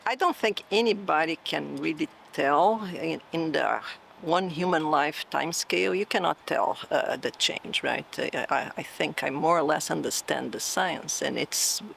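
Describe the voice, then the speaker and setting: A woman's voice: sits low, measured pace, creaky, fairly bright tone, a little hiss or hum. A woman in her sixties from Brazil, with a Brazilian Portuguese accent, thoughtfully answering interview questions as a professor and often checking in with "right?". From southern Brazil. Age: 60s. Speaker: cell biology professor. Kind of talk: oral history interview answers.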